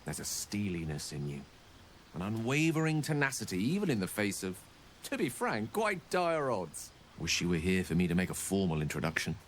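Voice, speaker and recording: An actor playing a wistful, self-deprecating wizard in a video game, with a refined British accent and a theatrical, wordy manner. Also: male voice, sits low, wildly swooping pitch, normal speed, slight background noise. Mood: warm, reflective. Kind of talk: video game dialogue acting.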